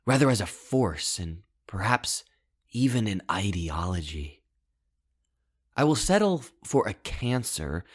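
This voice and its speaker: A man voicing an obsessive, self-absorbed young outcast in a storybook monologue, deliberate and theatrical, with intense, hushed turns. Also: fairly low pitch. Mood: grandiose, brooding. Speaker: author reading own short story. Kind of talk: first-person dramatic monologue, scripted narration.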